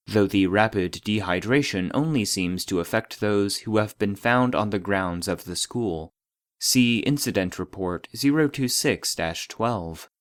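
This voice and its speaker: A database reading secret files, lowish voice, super formal n clinical, very dry sound. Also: male voice, no room sound. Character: impersonal male database voice reading classified anomaly files. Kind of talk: scripted narration of a formal report.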